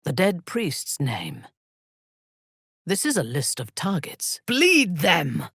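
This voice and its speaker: Imperious husky warrior zealot woman for a game, cold and menacing, close dry sound. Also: on the low side, wildly swooping pitch, slow, fairly bright tone, dry recording, exaggerated voice. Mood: cold, commanding. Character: ruthless, haughty female warrior zealot, coldly commanding. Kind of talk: video game character voice lines.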